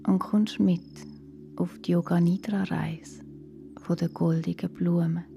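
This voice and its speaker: Very slow, hushed Swiss German woman. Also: fairly low pitch. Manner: calm, soothing, hushed and breathy. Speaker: guided relaxation instructor for children. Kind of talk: slow guided yoga nidra meditation. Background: soft music.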